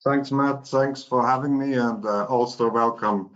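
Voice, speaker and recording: A middle-aged man with a Swiss accent, a non-native English speaker, talking carefully and haltingly as a finance executive guest on a business webinar. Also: medium pitch, steady tone, fairly bright tone, dull audio. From Switzerland. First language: not English. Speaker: chief financial officer, guest.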